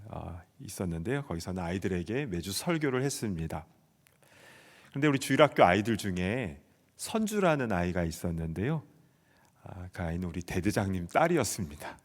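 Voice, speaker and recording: A man in his forties from South Korea, preaching a sermon as pastor and telling a personal story earnestly. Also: fairly low pitch. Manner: earnest storytelling, shouting out quoted soldier replies. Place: church sanctuary. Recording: long hall reverb.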